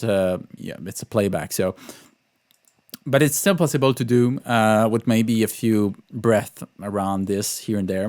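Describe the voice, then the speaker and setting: A man's voice: mid-range voice, clear, resonant tone, no echo, clean studio sound. A man talking through an orchestration lesson as a composer, informally and hesitantly, thinking aloud as he goes. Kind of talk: informal tutorial walkthrough.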